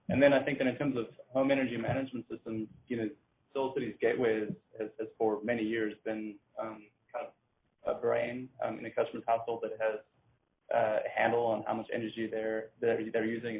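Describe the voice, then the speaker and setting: A man answering unscripted as a company executive on an earnings call, in a halting, measured way. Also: medium pitch. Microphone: conference call line. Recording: narrow phone-like line, faint noise.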